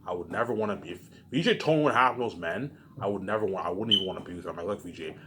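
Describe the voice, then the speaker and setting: A man's voice: sits low, racing. A man rambling casually and repetitively to his audience, swearing often, with emphatic bursts that trail off into muttered tags. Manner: frequent swearing. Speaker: vlogger. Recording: clearly noisy background.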